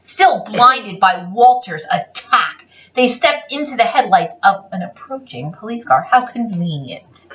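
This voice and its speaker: Woman storyteller for a kids' read-aloud, slow and theatrical, very lively pitch swings. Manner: theatrical, expressive, playful. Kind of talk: animated children's picture book read-aloud. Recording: roomy, dull lo-fi, distant mic.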